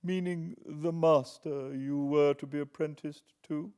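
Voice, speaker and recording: A man's voice: a little high, fairly even intonation, a little slow, dark tone, smooth, pitched above the performer's own voice, close to an everyday voice. A British man voicing an imperious, impatient old lady in an audiobook, curt and haughty. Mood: imperious, displeased. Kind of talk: acted audiobook character.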